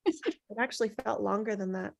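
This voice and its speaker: A woman leading a casual group discussion in a chatty, agreeable way, with frequent soft interjections. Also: mid-range voice, normal speed, creaky. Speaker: host leading a script table-read discussion.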